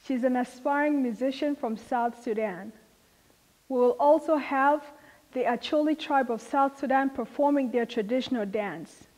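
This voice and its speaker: A woman hosting a show, giving a measured, welcoming scripted introduction to the program. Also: high voice, a little mellow, long reverb tail, rough recording. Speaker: host.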